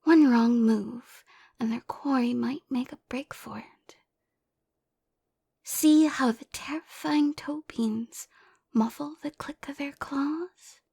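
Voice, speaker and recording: A woman voicing a mock-serious nature documentary narrator, hushed, breathy and suspenseful in an audio roleplay. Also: sits high, full character voice. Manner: hushed, breathy, slow and grandly dramatic.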